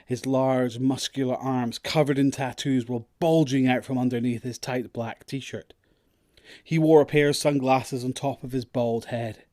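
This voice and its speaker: A man's voice: mid-range voice, fairly even intonation, measured pace, dark tone, dry recording, studio quality. A man narrating a scripted audio drama story.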